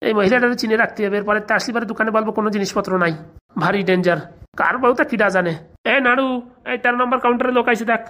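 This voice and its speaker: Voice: mid-range voice, very fast, sharp tone, lightly acted. A performer voicing a sly, grumbling village shopkeeper in a comedy cartoon, chatty and muttering, with a rural Bengali dialect. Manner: chatty, muttering asides, cautioning.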